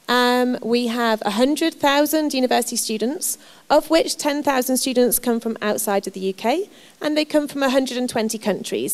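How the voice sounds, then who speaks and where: A woman's voice: high voice, darkish tone. A woman from Wales giving a chatty, reassuring presentation about her university to prospective students, with frequent ums. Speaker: university representative. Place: lecture or seminar room.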